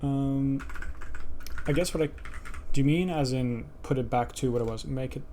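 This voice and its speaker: A man thinking aloud on a casual programming livestream, halting and self-deprecating as he mutters to himself. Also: medium pitch, mellow, a little hiss or hum. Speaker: live streamer coding a game.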